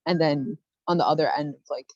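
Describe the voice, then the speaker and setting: Low-voiced woman on a video call. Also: steady tone, moderate pace, a little mellow, no room sound, muffled recording. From United States. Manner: thoughtful, many "like" fillers and restarts. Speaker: study abroad alumna panelist. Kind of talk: reflective panel answer.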